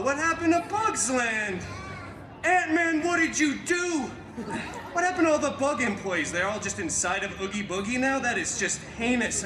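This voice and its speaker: A man's voice: very high-pitched, background noise. A man playing a wisecracking, flamboyant superhero who tells stories in a chatty, theatrical, sarcastic way at a live comedy show. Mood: mock outraged. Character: wisecracking, flamboyant adult male superhero storyteller. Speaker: theme park show performer. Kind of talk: live comic storytelling stage show. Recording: echoey live amplified show with music.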